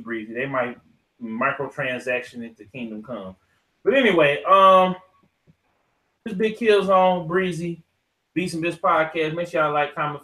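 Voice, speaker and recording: Bright-voiced man hosting a casual podcast. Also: a little high, low-quality recording. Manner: informal, slangy, swearing. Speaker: podcast host. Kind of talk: casual podcast chat with read-out lists.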